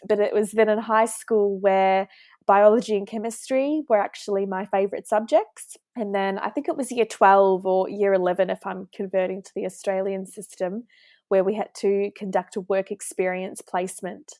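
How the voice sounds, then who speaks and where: A woman's voice: medium pitch, steady tone, moderate pace, warm tone, no echo, studio quality. A woman in her twenties from New Zealand, a pharmacist guest on a podcast, telling her story in a friendly way with some filler words. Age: 20s. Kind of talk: podcast interview.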